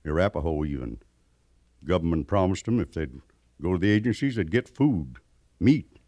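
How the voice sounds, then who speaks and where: A weathered frontier scout in an American radio western. His voice is low and very expressive, rising and dropping widely. He talks in a plain, folksy drawl with rustic grammar, and the sound is close and dry. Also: normal speed, dry recording. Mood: grave, urgent. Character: weathered mountain man scout, plainspoken, living among the Arapaho. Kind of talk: 1950s western radio drama acting.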